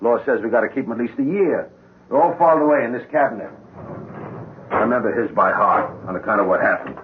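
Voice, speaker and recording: An actor playing a wary, talkative working-class American taxi dispatcher in a radio drama, husky and colloquial. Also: male voice, mid-range voice, quick pace, background noise, lightly acted. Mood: explaining. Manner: colloquial, matter-of-fact. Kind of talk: 1970s radio drama acting. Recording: thin, phone-like 1970s radio broadcast.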